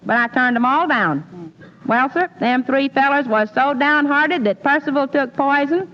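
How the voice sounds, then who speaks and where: An actress playing a sassy, scatterbrained young boardinghouse keeper in a 1940s radio comedy, with folksy malapropisms and comic timing. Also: female voice, high voice, normal speed, in a large hall, close to an everyday voice. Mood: coy boasting. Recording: muffled, hissy old radio recording.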